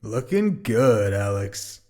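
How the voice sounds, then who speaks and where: A man's voice: lowish voice, very animated, slow, a little mellow. Man playing a surly, cocky teenage lynx guy. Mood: smug. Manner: sullen, dismissive, foul-mouthed. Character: surly, cocky eighteen-year-old male lynx, rebellious teen. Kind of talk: audio drama character acting.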